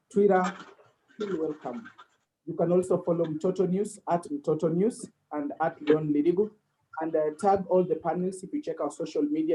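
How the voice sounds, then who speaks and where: A man's voice: on the high side, mellow, slight background noise, poor audio. A man hosting an online panel, polite and formal, with many fillers. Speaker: host.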